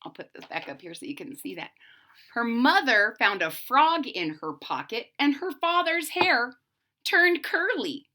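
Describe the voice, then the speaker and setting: A woman's voice: a little high, big pitch swings, measured pace, sharp tone. A woman reading a children's picture book aloud expressively, with explanatory asides to her young listeners. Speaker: storybook reader.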